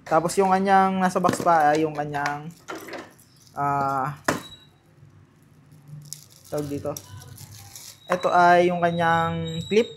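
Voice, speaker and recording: A man with a Filipino accent giving casual, unscripted unboxing commentary, halting and thinking aloud as he handles things. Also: fairly high pitch, fairly even intonation, background noise. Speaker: vlogger presenting product unboxing.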